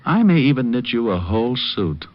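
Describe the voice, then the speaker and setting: An actor playing a playful, flirtatious private detective in 1940s radio style. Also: male voice, medium pitch, sing-song, normal speed, close to an everyday voice. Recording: dull old radio recording.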